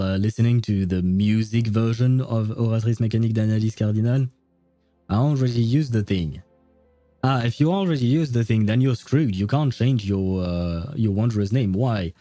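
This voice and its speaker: Male game streamer, soft mellow tone, dry close sound with music underneath, loose rambling chat. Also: mid-range voice, normal speed, no echo. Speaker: livestream host.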